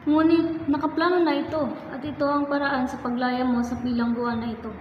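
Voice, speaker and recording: A woman's voice: sits high, little pitch movement, fairly quick, background noise, natural voice. A woman playing a solemn, devoted figure in an amateur historical radio drama, with formal, declaimed delivery. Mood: earnest, urging. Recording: muffled, echoey reverberant hall, music behind.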